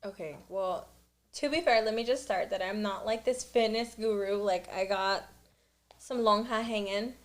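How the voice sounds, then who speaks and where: A woman's voice: medium pitch, moderate pace. Chatty, giggly young woman. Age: young adult. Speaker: podcast guest. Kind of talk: casual chat.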